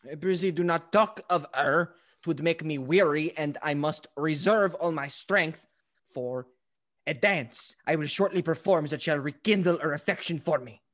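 A woman's voice: deep voice, normal speed. A woman playing a vain, foolish male fop in a classical stage comedy, with an affected, florid way of talking. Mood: self-satisfied, airy. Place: indoors at home, on a video call.

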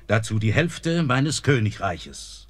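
A man's voice: on the low side, very animated, takes it slowly, no echo, heavily acted. An actor playing an old, worried king who sternly scolds his son and servants. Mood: grave worry. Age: older.

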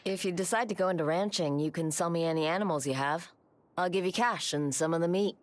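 A woman acts a butcher in a video game. Her voice is low, and she comes across as guarded, reserved and businesslike. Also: fast, natural voice. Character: guarded, matter-of-fact butcher shopkeeper.

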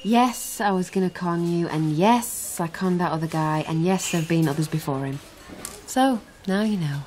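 An actress playing a guarded, defiant young con artist in a naturalistic radio drama. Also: female voice, fairly low pitch, normal speed, over music, lightly acted. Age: young adult.

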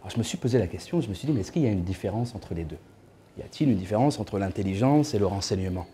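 A man's voice: on the low side, animated, rapid-fire, dark tone, slight background noise. A man in his thirties, seated in an indoor room and filmed from a distance, giving a talk as a guest speaker. He speaks conversationally and tosses joking asides to the audience. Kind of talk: lecture to a small audience.

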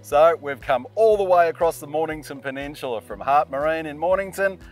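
A man's voice: fairly high pitch, lively intonation, a little fast. An Australian man in his sixties hosting a boating show, interviewing guests in a workshop and at a marina with upbeat, leading questions. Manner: hearty, upbeat, leading questions ending in "isn't it?". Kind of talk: friendly on-location interview. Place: boat workshop and outdoor marina. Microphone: lapel mic. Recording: faint background music.

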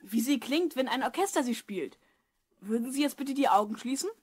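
Eager, husky twelve-year-old boy. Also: high-pitched, lively intonation, brisk, darkish tone. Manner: earnest, polite. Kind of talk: German audio drama acting.

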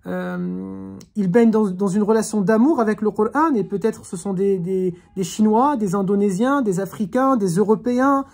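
A woman's voice: mid-range voice, moderate pace, creaky, hardly any pauses, darkish tone. Earnest woman teaching a religious class. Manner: earnest, reflective, exhorting. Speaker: Quran teacher.

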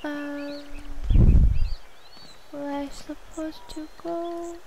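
A woman's voice: high-pitched, little pitch movement, drawn-out words, creaky. A woman giving casual gameplay commentary, muttering short, hesitant remarks to herself as she plays. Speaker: gamer playing and commenting. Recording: noisy, game sound behind the voice. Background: video game ambience.

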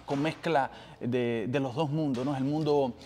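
A man's voice: medium pitch, steady tone, unhurried, mellow, slight background noise. A middle-aged man hosting an interview, eagerly asking questions and filling in words for his guest. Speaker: interviewer host. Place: small office with couches, indoors.

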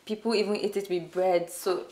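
A young woman, chatting warmly as an interview guest with lots of "like" fillers. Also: mid-range voice, steady tone, normal speed, reverberant. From Nigeria. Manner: warm, upbeat, many "like" fillers. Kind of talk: casual interview answers. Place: indoor studio on a sofa. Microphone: off-camera shotgun mic.

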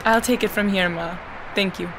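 A woman's voice: medium pitch, moderate pace, lightly acted. A woman playing a kind, patient young violin teacher in an audio drama. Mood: patient, encouraging. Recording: faint ambient background noise.

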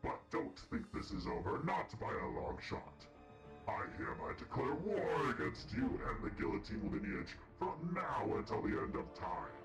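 A man using voice changer software to voice a gloating, cruel male demon lord in a game story. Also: medium pitch, huge pitch swings, moderate pace. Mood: scornful. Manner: menacing, theatrical threats. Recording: roomy, with game music behind.

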